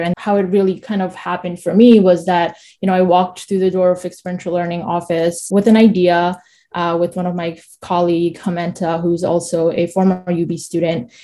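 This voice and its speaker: A young woman from Nepal talks as an interview guest, upbeat and enthusiastic. Her voice is lowish and mellow rather than bright. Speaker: former student guest.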